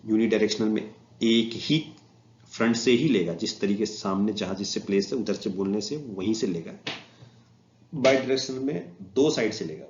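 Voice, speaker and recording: A man's voice: lowish voice, a little slow, a little mellow. A man with an Indian accent giving an informal slide lecture as an instructor, mixing Hindi with English technical terms. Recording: echoey room, muffled lo-fi, faint noise.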